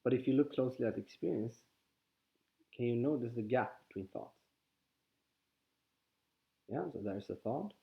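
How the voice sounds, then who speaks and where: A man with a Swedish accent, whose first language is Swedish, calmly guiding listeners through a contemplative teaching, often pausing and restarting his sentences. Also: mid-range voice, vocal fry. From Sweden. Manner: calm, halting, with frequent restarts. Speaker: spiritual teacher. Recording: slightly dull lo-fi audio.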